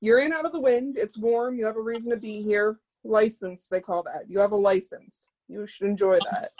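A woman's voice: medium pitch, steady tone, moderate pace, a little mellow, lightly acted, low-quality recording. An actress playing a blunt, world-weary woman in a play reading, with a dry, clipped, colloquial delivery. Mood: bossy, dry.

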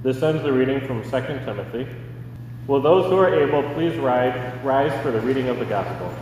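A man's voice: mid-range voice, expressive, a little mellow. American man reading scripture, very echoey. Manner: measured, formal. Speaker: scripture reader. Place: large indoor church. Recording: very echoey, distant, noisy hall.

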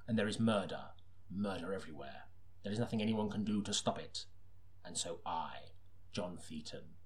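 A man's voice: low-pitched, lightly acted. A man playing an earnest, melodramatic detective who narrates his own crime story as an audiobook. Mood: low, sinister. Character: deadpan melodramatic hard-boiled detective narrator, secretly a sinister killer.

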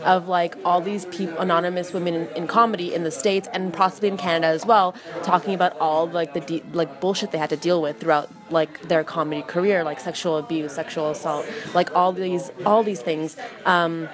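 A woman in her twenties, a comedian talking fast and fired-up in a casual podcast chat, with lots of "like". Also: on the low side, steady tone, over music. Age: 20s. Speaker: guest, comedian. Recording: crowd chatter behind.

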